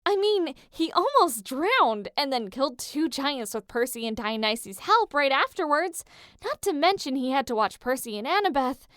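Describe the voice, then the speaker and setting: An anxious teenage heroine from a fantasy story, acted by a woman, with a voice that jumps high. She sounds emotional, snappy and defensive, in a dry recording. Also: sing-song, moderate pace, fairly bright tone, no room sound. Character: Piper, anxious, defensive teenage girl demigod. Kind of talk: dramatic reading of a fan story.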